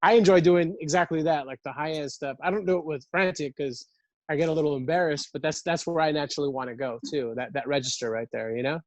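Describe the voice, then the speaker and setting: A man's voice: fairly high pitch, fast talker, dry recording. Enthusiastic male podcast host, dry sound. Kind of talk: casual interview chat.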